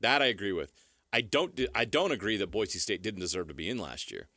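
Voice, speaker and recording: An American man delivers opinionated sports talk in a fairly low, forceful voice. He is emphatic and firm, restating points to drive them home. The recording is very dry and free of room sound. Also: big pitch swings, brisk, no echo. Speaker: sports talk show commentator.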